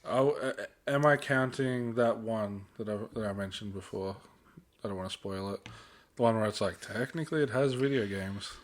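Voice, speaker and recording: A man's voice: lowish voice, moderate pace. Young Australian man chatting casually. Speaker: podcast co-host. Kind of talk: casual chat.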